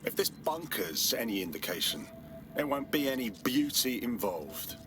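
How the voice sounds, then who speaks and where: A man's voice: mid-range voice, sing-song, normal speed, music in the background, close to an everyday voice. A man playing a wary, defiant hacker in a video game, terse and sceptical. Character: wary, defiant male hacker resistance member. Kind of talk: video game cutscene acting. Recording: clear noise behind.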